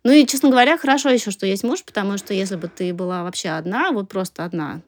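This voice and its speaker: A woman's voice: mid-range voice, quick pace. A woman in her thirties speaking as a podcast guest in a casual interview, informal and hedging as she thinks aloud. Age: 30s.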